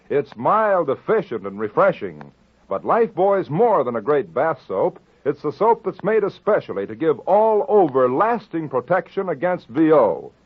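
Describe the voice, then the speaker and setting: A man's voice: on the high side, husky. A man reading a soap commercial on 1940s radio in a breezy, persuasive manner. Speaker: commercial announcer. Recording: tinny old radio broadcast.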